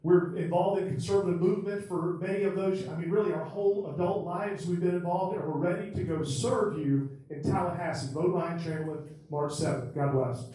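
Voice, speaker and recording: A middle-aged man with a fairly high voice delivers an upbeat, folksy campaign pitch. He sounds set back from the microphone, and the big room rings around him. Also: steady tone, a little fast, hardly any pauses, dark tone, a little hiss or hum. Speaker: political candidate. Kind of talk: campaign stump speech. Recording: echoey hall, distant mic.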